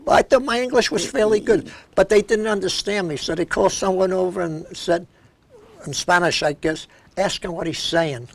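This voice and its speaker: A man's voice: high voice, normal speed. An older man from Brooklyn with a New York accent, hosting a local cable talk show and speaking bluntly with strong opinions. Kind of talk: opinionated interview chat.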